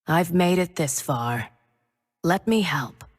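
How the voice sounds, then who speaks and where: Solemn female game warrior: low, unhurried, bathed in long reverb. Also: lively intonation, slightly rough, exaggerated voice. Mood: solemn resolve. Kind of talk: video game character acting.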